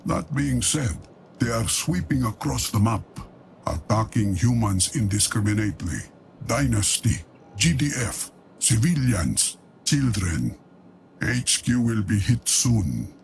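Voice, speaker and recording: An actor playing an ailing, cunning old deposed patriarch in a game cutscene, grave and measured, with a gravelly voice. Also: male voice, fairly low pitch, expressive, slight background noise, heavily acted. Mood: grim, calculating. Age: older. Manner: grave, measured, deliberate pauses. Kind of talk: dramatic game cutscene monologue.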